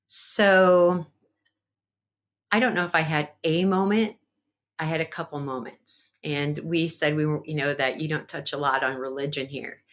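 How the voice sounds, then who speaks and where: Podcast guest: woman, low voice, earnest, reflective, conversational. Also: steady tone, a little slow. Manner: earnest and reflective, with frequent fillers. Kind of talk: conversational interview.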